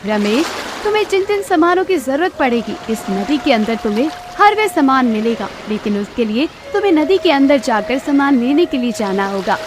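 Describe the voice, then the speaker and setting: Woman as a high-voiced, kindly cartoon mermaid. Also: sing-song, normal speed, hardly any pauses, noisy background. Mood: reassuring, kind. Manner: gentle, reassuring, earnest. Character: kind, reassuring river mermaid who grants help.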